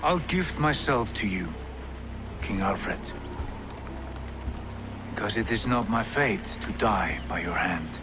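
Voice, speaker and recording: An actor playing a defiant, hot-tempered captive Viking lord in a scornful, theatrical video game performance. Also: male voice, fairly low pitch, expressive, slow pace, pauses often. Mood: furious, defiant. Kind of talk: dramatic video game acting. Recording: music under the voice.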